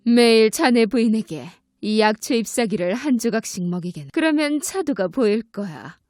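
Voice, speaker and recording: A wicked witch from a children's fairy tale, acted out by a woman narrator, haughty and imperious. She commands and bargains in a fairly high voice, with music behind her. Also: expressive, a little mellow, no echo, clean studio sound, lightly acted. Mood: coolly commanding. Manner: imperious, slow threatening questions and commands.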